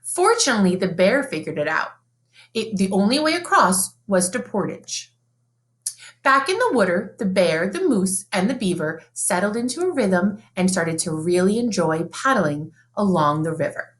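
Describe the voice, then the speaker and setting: A woman reads a picture book aloud to young listeners, her pitch swooping up and down as she tells the tale. Her delivery is sing-song and expressive, in the manner of a teacher at story time. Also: moderate pace. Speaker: teacher reading aloud to children. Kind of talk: children's read-aloud storytelling.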